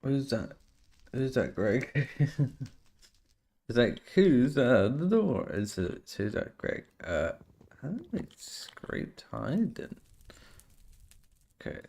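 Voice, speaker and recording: A man's voice: medium pitch, sing-song, takes it slowly, vocal fry, long pauses, a little mellow. A man streaming a survival game, chatting to viewers and thinking aloud as he plays, sounding a bit nasal and sniffly from a cold. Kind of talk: casual gameplay commentary.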